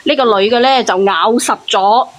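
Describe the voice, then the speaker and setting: A woman's voice: a little high, normal speed. A woman giving heated casual commentary on a call-in show, blunt and cross-examining. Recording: faint hiss on call audio.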